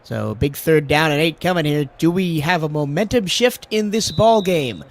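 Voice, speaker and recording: Male play-by-play caller, slightly high voice, hoarse from a cold, climbs loud and high on big plays. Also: animated, normal speed. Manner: rises sharply in pitch and volume on big plays. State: hoarse, lower voice after a cold. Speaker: play-by-play commentator. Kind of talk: sports play-by-play commentary.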